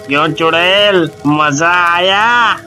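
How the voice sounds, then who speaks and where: A man's voice: high voice, pushed and bright, exaggerated voice. A man voicing a cowardly, blustering drunkard village husband in a children's cartoon, pleading and scolding in stretched exclamations. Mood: gloating. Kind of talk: Hindi children's cartoon voice acting.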